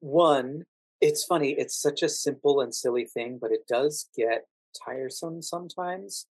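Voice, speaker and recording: American man, measured guest, very dry recording. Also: mid-range voice, no echo. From United States. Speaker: podcast guest, actor working as a standardized patient. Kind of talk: thoughtful interview conversation.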